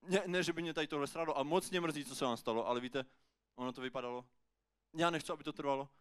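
Man playing an awkward, hurried passer-by. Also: on the high side, fairly bright tone, smooth, lightly acted. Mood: polite impatience. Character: hurried working passer-by, awkward, reluctantly generous. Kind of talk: rhymed slam poetry monologue.

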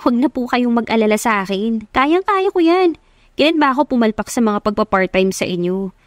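A cheerful young working woman from the slums as a female narrator puts her on, in a radio drama love story. She talks confidently and casually, with a raised pitch and a dry, close sound. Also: very animated, a little fast, no echo.

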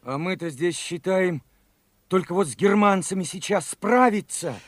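An earnest young revolutionary soldier played by a male radio actor speaks in a high, boyish register. He is fervent and idealistic, heard dry and without room sound. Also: normal speed, dry recording, close to an everyday voice. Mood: puzzled. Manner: fervent, open-hearted. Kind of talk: Soviet radio play acting.

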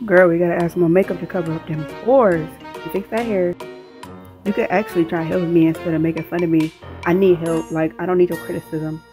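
A woman's voice: on the low side, steady tone, fairly quick, music in the background. A woman doing a comedic cartoon voice-over, acting out dialogue with sassy, colloquial, exaggerated delivery. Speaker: amateur voice-over performer dubbing a cartoon.

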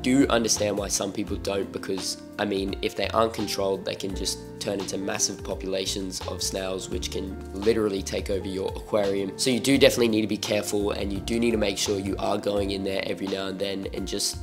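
A man's voice: low voice, little pitch movement, fast, hardly any pauses, music in the background. A young Australian man hosting an aquarium channel, talking casually to camera in a room full of fish tanks, his voice a little off as he gets over being sick. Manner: laid-back, filler-heavy ("like", "literally", "super"). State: recovering from illness, voice a bit off.

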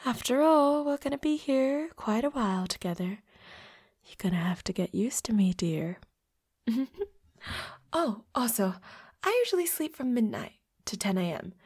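A woman's voice: on the high side, sing-song, moderate pace, a little mellow, full character voice. A woman voicing a flirty, playfully predatory vampire roommate in an intimate audio roleplay, with a coy, teasing manner. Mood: coy, secretive. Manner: coy, giggly, teasing, drops into breathy whispers.

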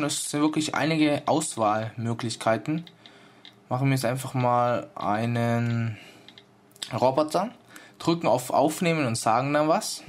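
A man presents an app review in an informal, explanatory way. His voice sits at an average male pitch. He goes step by step, as if showing a viewer how it is done. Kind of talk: casual spoken app demonstration.

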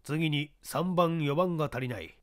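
疑り深く威張った会社社長を力強い口調で演じる男性。. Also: male voice, on the high side, measured pace, lightly acted. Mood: blustering. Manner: forceful, emphatic, bossy. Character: blustering, skeptical male company president.

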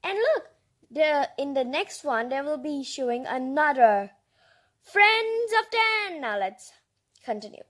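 A woman's voice: sits high, big pitch swings, takes it slowly, a bit bright. A female reader reading a picture book aloud with chatty asides, in a childlike, enthusiastic, exclaiming manner. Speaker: storybook reader and host.

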